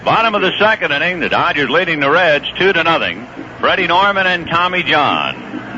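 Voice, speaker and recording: A man calling a baseball game play by play on the radio, chatting easily about the players between pitches. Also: background noise. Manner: relaxed, conversational storytelling between pitches. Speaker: play-by-play announcer. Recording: dull lo-fi 1970s radio broadcast.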